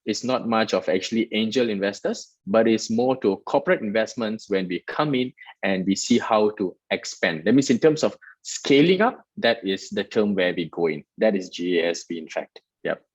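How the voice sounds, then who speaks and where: A man's voice: lowish voice, animated, normal speed, no echo, lo-fi sound. A man from Malaysia, a guest in an interview, explaining his work at length in an earnest way with many restarts. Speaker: guest, startup festival director. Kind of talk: interview answers.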